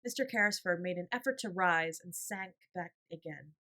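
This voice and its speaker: A woman's voice: on the low side, moderate pace, sharp tone. A woman reading a bedtime story as scripted audiobook narration.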